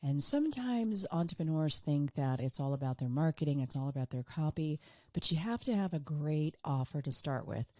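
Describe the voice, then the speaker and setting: A woman hosting her own business podcast, talking earnestly on her own, a little hoarse and tired from illness. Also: low voice, expressive, normal speed, dark tone, no echo. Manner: earnest, repeats for emphasis. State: hoarse, recovering from illness, fatigued. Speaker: podcast host. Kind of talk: solo business podcast monologue.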